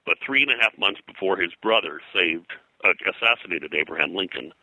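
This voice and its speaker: A man's voice: deep voice, animated, a little fast, pushed and bright, no echo, poor audio. An older man telling rambling anecdotes as an interview guest, drifting into digressions and lists of names. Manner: digressive, name-dropping storyteller. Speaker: pop culture historian and guest.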